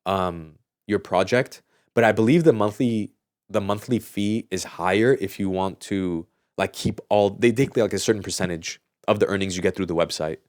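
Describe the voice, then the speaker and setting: A man's voice: lowish voice, normal speed, darkish tone, dry recording, studio quality. A man speaking in a casual podcast chat, explaining things informally as a host. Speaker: audio mixing engineer.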